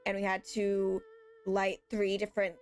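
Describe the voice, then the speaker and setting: A woman's voice: mid-range voice, completely flat delivery, a little slow, soft background music. A woman streaming a game with a friend, talking casually and hesitantly as she searches for words. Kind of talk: casual gameplay chat.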